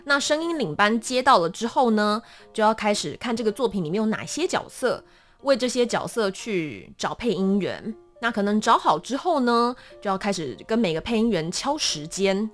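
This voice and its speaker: A young woman with a Taiwanese Mandarin accent explaining her work as an interview guest in an animated, self-deprecating, casual way. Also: medium pitch, normal speed, soft background music. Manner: animated, self-deprecating, acts out small examples.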